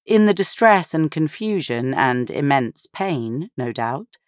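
Female narrator, low voice, unhurried pace, dry close sound, scripted fiction. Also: expressive, dry recording. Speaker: audiobook narrator.

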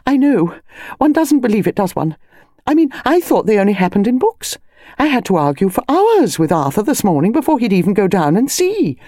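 A woman voicing a breathless, gushing, excitable upper-class older lady in an audiobook. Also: medium pitch, a little fast, dry recording, clean studio sound, voice pushed up. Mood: breathless excitement. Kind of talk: audiobook character voice.

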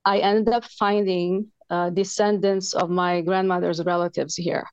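Gravelly-voiced woman telling her story. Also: on the low side, little pitch movement, a little mellow, dry recording, muffled recording. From Lebanon. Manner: earnest, halting with frequent fillers. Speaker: author guest. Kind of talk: interview, personal storytelling.

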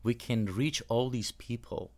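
A man's voice: medium pitch, unhurried. A man from Iran with a Persian accent, a native Persian speaker, speaking earnestly and emphatically as a pastor in a podcast interview. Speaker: pastor and house church trainer.

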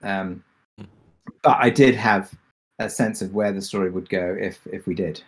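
A man's voice: deep voice, a little slow. A man speaking as a show creator on a panel, casual and reflective, often restarting his phrases.

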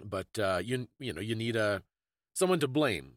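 Male podcast co-host, lowish voice, bookish and explanatory, conversational delivery, close dry sound. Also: animated, normal speed, no echo. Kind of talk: casual conversational history discussion.

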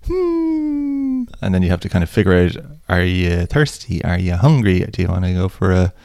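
A man co-hosting a relaxed podcast, joking and rambling. His voice sits low and gravelly. The sound is close and dry, with no room echo. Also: moderate pace. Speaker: podcast co-host. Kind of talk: casual chat.

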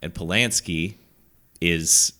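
A man with a cold and a very deep voice, chatting and joking as a podcast co-host. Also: slow, pushed and bright. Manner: rambling, joking, laughs a lot. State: sick, sore throat. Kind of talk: casual chat.

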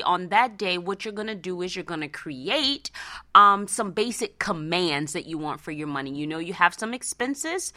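A woman hosting a radio show, talking conversationally and motivationally about personal finance in an animated way. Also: mid-range voice. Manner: animated, conversational, acts out imagined remarks.

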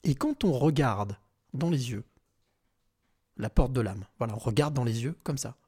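A man hosting a podcast interview in a large room in a home, speaking warmly and stopping to search for words. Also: medium pitch, lively intonation, normal speed, husky. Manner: warm, searching for words with repeated restarts. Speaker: podcast host.

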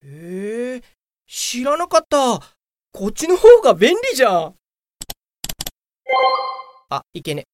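A woman's voice: on the high side, very animated, slow, pauses often, darkish tone, a little hiss or hum. A woman playing a whiny, self-important young male office worker in a comedic radio drama, full of grumbles and excuses. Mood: cheeky, impressed.

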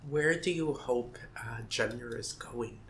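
A man conducting an oral history interview, asking questions gently and hesitantly, with fillers. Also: medium pitch, a little slow, slight background noise.

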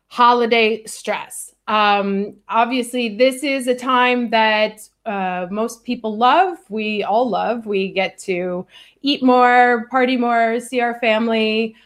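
A woman hosting a live online conversation from home, measured and friendly, with frequent fillers. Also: fairly high pitch, a bit bright. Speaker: host. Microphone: video call.

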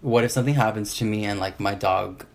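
A woman's voice: a bass voice, quick pace. A woman co-hosting a podcast in casual, conversational talk. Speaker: podcast co-host. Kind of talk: casual group podcast chat.

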